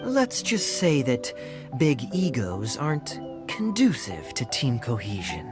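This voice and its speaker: A man's voice: medium pitch, huge pitch swings, slow pace. A man playing a suave, smug young knight in a video game, easygoing, playful and insinuating in manner. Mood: teasing. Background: background music.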